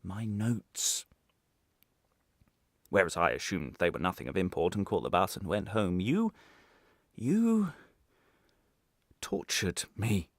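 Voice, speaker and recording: Male actor as vengeful ex-prisoner for radio drama: low register, deliberate menace, theatrical, close dry sound. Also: very animated, no echo, full character voice. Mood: quietly menacing, then bitter, agitated. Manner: deliberate, menacing, theatrical, swinging from hushed to agitated. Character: embittered, vengeful former prisoner menacing his captor with a bomb. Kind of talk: dramatic radio play monologue.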